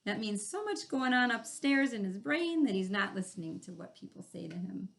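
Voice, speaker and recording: A woman hosting a children's read-aloud, chatting and explaining the story in animated asides. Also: mid-range voice, normal speed, vocal fry. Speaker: read-aloud host, teacher.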